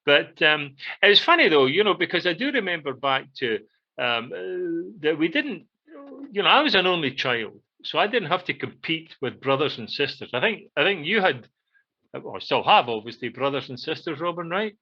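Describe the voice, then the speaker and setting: A man's voice: on the high side, big pitch swings, moderate pace. The recording is dull and lo-fi. A Scottish man chats casually about old memories as a podcast co-host. He rambles and halts, leaning often on "you know" as he finds his way. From Scotland. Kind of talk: casual reminiscing chat. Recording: dull, lo-fi band-limited audio.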